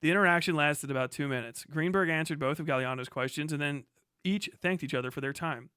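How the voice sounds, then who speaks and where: A man with a fairly high, very bright and forceful voice, recorded dry and close. He talks like a live streamer going over the news, casual and opinionated, with blunt, emphatic delivery and plenty of swearing. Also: quick pace, smooth, no room sound. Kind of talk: casual opinionated livestream commentary, reading news aloud.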